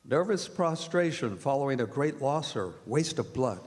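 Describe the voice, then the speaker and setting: A man's voice: mid-range voice, animated, a little mellow, natural voice. Man playing somber doctor in a reverberant hall. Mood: troubled. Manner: measured, dramatic recitation. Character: somber male doctor narrating his diary. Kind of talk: theatrical radio drama narration. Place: performing arts center stage. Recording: echoey theatre hall with music behind.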